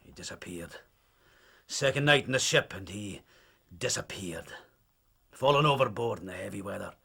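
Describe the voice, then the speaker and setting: An actor playing a rough, sly old Scottish sailor in an audio drama, muttering his yarn hoarsely with sudden swells. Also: on the low side, lively intonation, normal speed, sharp tone, exaggerated voice. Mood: grim, secretive.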